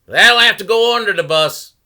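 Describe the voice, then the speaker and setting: Storybook bus driver acted by a man: loud, high-pitched, forceful, curt with passengers. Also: expressive, moderate pace, full character voice. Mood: brusque. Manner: bellowing, clipped orders.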